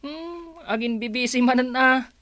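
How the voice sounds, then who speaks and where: A woman's voice: high voice, unhurried, fairly bright tone, no echo. A woman playing a sulky, lazy schoolboy who grumbles in an Ilocano accent in a radio drama. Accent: Ilocano, northern Philippines. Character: sulky, lazy school-age younger brother.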